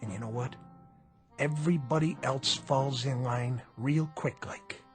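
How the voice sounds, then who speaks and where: A man's voice: medium pitch, measured pace, music in the background, close to an everyday voice. An actor playing a menacing, mocking gangster boss with streetwise tough-guy talk in a radio drama. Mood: coldly menacing. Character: menacing, sneering male gangster boss bullying his underling.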